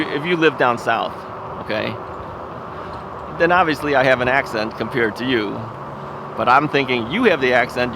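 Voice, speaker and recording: A man with a gravelly, creaky voice chats as he rides an ebike outdoors. He rambles with easy chuckles, talking into a bike-mounted camera over clear traffic and wind noise. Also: mid-range voice. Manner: rambling, chuckling, self-questioning. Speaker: vlogger. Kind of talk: casual thinking aloud while riding. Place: outdoors on suburban streets, riding an ebike.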